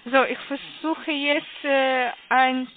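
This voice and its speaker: Female telesales agent, foreign accent, high pitch, slow hesitant delivery, thin phone-line sound. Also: steady tone. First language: not German. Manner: halting, hesitant, often checking with "ja". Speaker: phone sales agent for an investment firm. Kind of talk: scripted sales call. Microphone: telephone. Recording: muffled narrow phone line.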